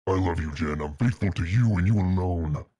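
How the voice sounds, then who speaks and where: A man's voice: low voice, fairly quick, warm tone, dry recording. A man reading fan fiction aloud on a stream, mock-earnest and melodramatic, with a casual style. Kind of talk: dramatic narration with casual asides.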